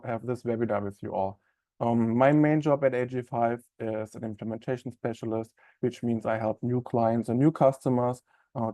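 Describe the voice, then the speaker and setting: A man from Germany with a German accent presenting a live software webinar in a friendly, conversational way with many ums and uhs. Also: mid-range voice, no echo.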